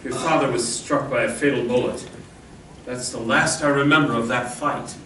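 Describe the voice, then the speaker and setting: A man playing a steadfast masked western hero in an old-time radio drama, earnest and measured. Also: mid-range voice, fairly even intonation, bright, forceful tone, slight background noise, lightly acted. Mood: grave. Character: the Lone Ranger, steadfast masked western lawman uncle. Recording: echoey room from far off.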